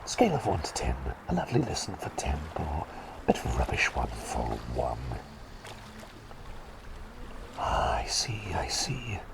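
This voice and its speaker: A gentle, bumbling bird played by a man whispers in a very low, creaky voice for an ASMR roleplay. The delivery swoops up and down widely, hesitant and polite, with phrases softly repeated. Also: wildly swooping pitch, normal speed, heavily acted. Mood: polite, caring. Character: gentle, bumbling talking bird doctor, kindly and silly.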